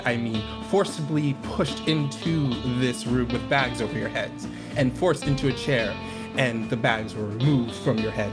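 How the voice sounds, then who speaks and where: A man acting as game master, improvising a scene for players in an animated, conversational and emphatic way. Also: medium pitch. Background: loud plucked guitar music.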